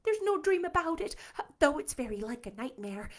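A woman's voice: high-pitched, big pitch swings, moderate pace, pitched above the performer's own voice. A woman reading aloud voices a dramatic teenage girl who wails and frets breathlessly. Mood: despairing. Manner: breathless, wailing and theatrical.

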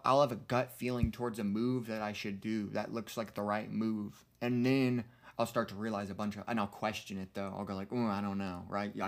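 Male podcast co-host, early twenties. Lowish voice, earnest, slangy, loose and rambling conversational delivery. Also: gravelly. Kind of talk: casual reflective podcast chat.

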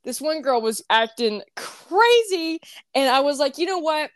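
A woman's voice: sits high, big pitch swings, a little slow, no room sound, clean studio sound. A young woman co-hosting a podcast, tipsy from wine, chatting casually with lots of rambling and bursts of laughter. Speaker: podcast co-host. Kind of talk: casual chat.

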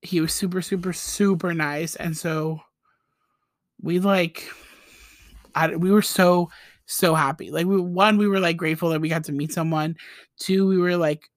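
A woman's voice: lowish voice, steady tone, measured pace, no room sound, clean studio sound. Chatty young woman telling a story. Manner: chatty, fillers of "like" and "you know", laughing asides. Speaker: podcast host. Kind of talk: casual storytelling.

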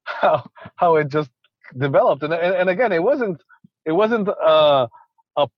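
A man's voice: fairly high pitch, measured pace, no room sound, lo-fi sound. A man from Ecuador, a conservationist guest, talking casually in an interview with many restarts and repeated words.

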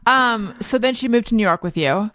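A woman's voice: on the high side, lively intonation, moderate pace, a bit bright, dry recording. A woman hosting a podcast, asking questions in an easygoing way and laughing along with her guest. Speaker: podcast host. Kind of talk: casual interview chat.

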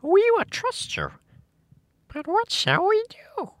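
A very high, squeaky little storybook mouse queen, acted out by a man. She speaks politely but with imperious, easily offended dignity in a thin falsetto, recorded dry and close. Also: wildly swooping pitch, takes it slowly, a bit bright, dry recording, heavily acted. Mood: gracious. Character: tiny indignant queen of field mice, squeaky and regal. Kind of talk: storybook character voice.

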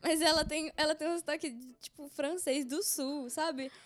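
High-voiced young woman, casual chat. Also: moderate pace. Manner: relaxed, informal, slangy.

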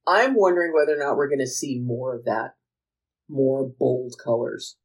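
A woman's voice: rumbling low, animated, moderate pace. A woman co-hosting a casual recap chat, enthusiastic and emphatic, often repeating herself for effect. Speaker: podcast co-host. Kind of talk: casual, chatty TV recap discussion.

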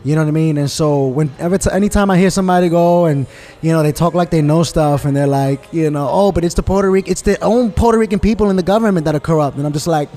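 A man's voice: fairly high pitch, fast talker, few pauses, dark tone. A man from New York in a casual conversation, talking emphatically and often asking "you understand?" to check he is followed. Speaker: guest.

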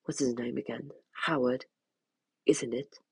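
A man's voice: medium pitch, steady tone, unhurried, vocal fry, a little mellow, breathy edge, no echo, heavily acted. A man doing a nervous, stammering husband. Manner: hesitant and stammering. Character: nervous middle-aged husband, stuffy and uneasy. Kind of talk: storybook reading.

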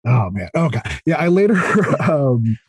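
Husky-voiced man, halting casual chat. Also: mid-range voice, very animated, warm tone, no echo. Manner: halting, filler-heavy, enthusiastic explaining. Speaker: podcast guest, audio drama creator.

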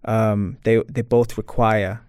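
A man's voice: on the low side, level tone, takes it slowly, darkish tone, smooth, no room sound, studio quality. A man speaking as an interview guest, talking earnestly and reflectively about his personal life.

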